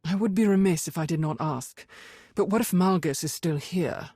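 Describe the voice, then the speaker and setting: A woman's voice: fairly low pitch, moderate pace, mellow, breathy edge, no echo, clean studio sound. A woman playing a stern, sceptical Imperial Sith officer in a video game, formal, curt and disapproving.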